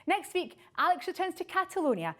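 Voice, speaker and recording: High, animated female presenter reading commentary. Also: brisk. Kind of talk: scripted political commentary.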